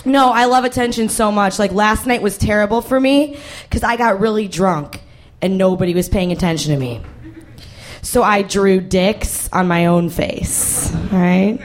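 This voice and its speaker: A young woman performing a stand-up comedy set on stage, brash and profane, with a hoarse voice that carries. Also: mid-range voice, normal speed. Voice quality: hoarse, carrying voice. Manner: brash, profane, self-mocking. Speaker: stand-up comic. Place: comedy stage with live audience.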